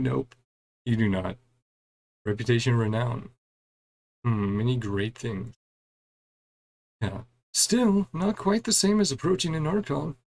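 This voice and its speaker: A man gives casual let's-play commentary in a medium-pitched voice. He reads the game's dialogue out loud in a conversational way. Between lines he drops in brief, chatty, murmured reactions. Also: creaky. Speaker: gaming streamer playing through a story quest.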